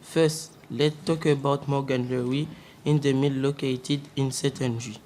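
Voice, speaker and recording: A man's voice: mid-range voice, steady tone, a little slow, darkish tone. Teen boy reading his school bit, faint hiss in back. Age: teens. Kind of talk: presenting a school report.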